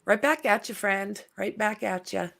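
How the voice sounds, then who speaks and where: A woman's voice: mid-range voice, a little slow, vocal fry. Chatty woman hosting a casual livestream. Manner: rambling, relaxed and chatty, with frequent fillers. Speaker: livestream host. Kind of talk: casual chat.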